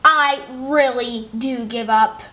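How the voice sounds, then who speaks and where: Storybook older brother, read by a woman: high voice, pleading, exasperated with a younger sister. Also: fairly even intonation, takes it slowly, sharp tone.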